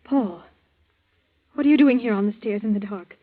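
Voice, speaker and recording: A woman's voice: medium pitch, normal speed, lightly acted. An actress playing an anxious but resolute young woman in a 1940s radio thriller, tremulous and earnest, on a tinny recording. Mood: alarmed.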